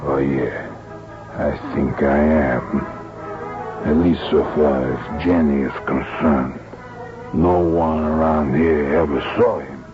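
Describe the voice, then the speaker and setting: Super deep cagey showbiz boss, old radio play, halting, dull lofi sound w/ music behind. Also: male voice, fairly even intonation. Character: male music hall boss, chatty, cagey showman. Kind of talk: old-time radio drama acting.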